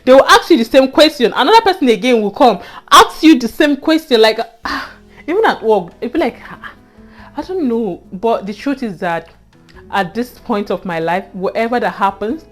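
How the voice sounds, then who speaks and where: A woman's voice: fairly high pitch, animated, normal speed, soft background music. A young Nigerian woman with a Nigerian accent, earnestly telling a personal story to her viewers and often repeating herself and adding "you know". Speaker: vlogger. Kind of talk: casual storytelling to camera.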